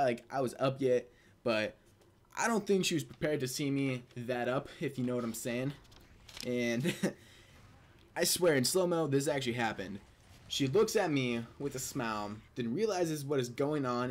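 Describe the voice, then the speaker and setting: Young male host, average pitch, casual comedic storyteller with crude, self-amused delivery. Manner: crude joking, laughing at own jokes. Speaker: video host telling personal stories.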